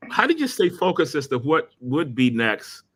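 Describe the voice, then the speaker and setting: A man's voice: a little high, very animated, moderate pace, a bit bright. Male interview host with a raised voice. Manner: encouraging, frequent short backchannels. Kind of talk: interview conversation.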